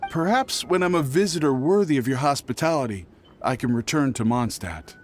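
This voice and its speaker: A man's voice: mid-range voice, expressive, brisk, slight background noise, natural voice. A man playing a nervous, shifty traveling merchant in an anime-style video game, hesitant and easily flustered. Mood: resigned, grateful. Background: game music.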